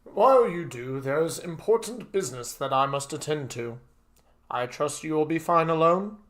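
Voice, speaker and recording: Story role, read by a man: loyal butler, polite and composed, formal measured delivery. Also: fairly high pitch, expressive, close to an everyday voice. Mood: caring, polite. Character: devoted, formal male butler, calm and dutiful.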